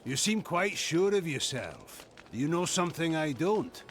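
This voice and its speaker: An actor playing a wry, skeptical villager in a fantasy game, with a questioning, probing manner. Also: male voice, fairly high pitch, sing-song, a little slow. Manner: questioning, dry. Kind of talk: fantasy game character acting.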